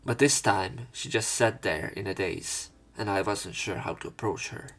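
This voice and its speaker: A man's voice: on the low side, moderate pace, fairly bright tone. A man reading visual novel text aloud and breaking off for casual, sweary let's play commentary. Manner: casual, sweary interjections between read lines.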